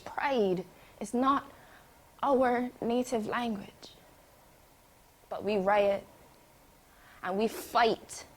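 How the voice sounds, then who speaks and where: A woman with a Barbadian accent performing spoken word poetry in a rhythmic, dramatic delivery that swings from loud lines to hushed ones. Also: a little high.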